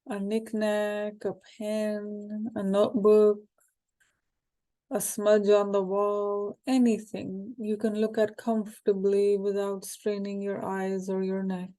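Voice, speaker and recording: A woman guiding a hypnosis meditation in a calm, unhurried, soothing way, with short phrases and pauses. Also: mid-range voice, rough recording. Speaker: hypnosis guide.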